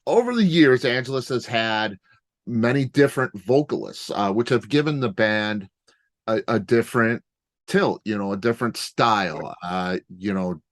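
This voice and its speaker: Low-voiced male interviewer, dry sound. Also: lively intonation, moderate pace, dry recording. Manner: chatty, lots of fillers. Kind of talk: interview questions.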